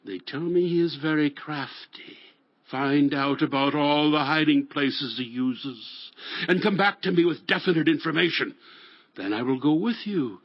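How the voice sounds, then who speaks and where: A man playing a commanding, suspicious king in a scripture drama, speaking slowly and emphatically. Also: a little high, no room sound. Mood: calculating, commanding. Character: commanding, suspicious king Saul hunting his rival. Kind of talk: dramatized scripture audio acting. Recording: dry, dull lo-fi audio.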